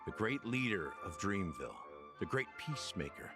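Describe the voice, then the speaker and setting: An actor playing a grave, world-weary officer in a video game, telling history in a measured, solemn way. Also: male voice, lowish voice, big pitch swings. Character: grave world-weary older male officer recounting old wars. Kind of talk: dramatic video game voice acting. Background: background game music.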